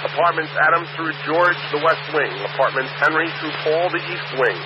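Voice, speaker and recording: A fire dispatcher with a New York accent, a man with a fairly high voice who reads out addresses and unit calls in clipped, steady radio style. His voice comes through a muffled dispatch radio with heavy static behind it. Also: a little fast. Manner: clipped, methodical radio procedure.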